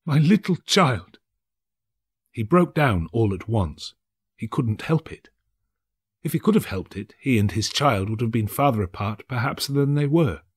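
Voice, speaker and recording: A male actor reads a story aloud in a measured, solemn way. His pitch moves widely and expressively, and the tone has a slightly husky edge. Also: animated. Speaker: narrator, actor reading an audiobook. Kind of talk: dramatic scripted storybook narration.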